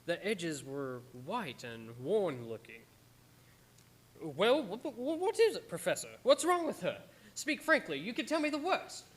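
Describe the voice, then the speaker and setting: A man plays an earnest, devoted doctor in a radio horror drama. His voice is a little high for a man. He delivers his account with solemn, dramatic weight. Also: sing-song, a little slow, exaggerated voice. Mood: anxious, pleading. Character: earnest, devoted, anguished male doctor narrating his journal.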